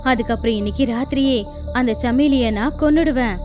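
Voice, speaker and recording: A woman's voice: fairly high pitch, normal speed, a little mellow, faint music behind, natural voice. A woman voicing a scheming, ambitious female goat in a cartoon fable.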